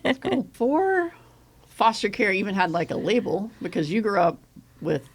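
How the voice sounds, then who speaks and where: A woman's voice: mid-range voice, sing-song, normal speed, slightly rough. A woman hosting a casual interview, prompting her guest with questions and frequent encouraging "mm-hmm" and "right.". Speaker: interviewer, host.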